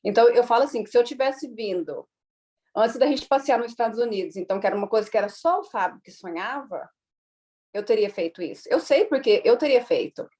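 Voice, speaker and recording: A woman's voice: on the high side, lively intonation, brisk, warm tone. Chatty Brazilian-born mother telling stories. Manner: chatty, many fillers, acts out quoted dialogue. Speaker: guest, immigrant mother. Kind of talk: casual interview storytelling.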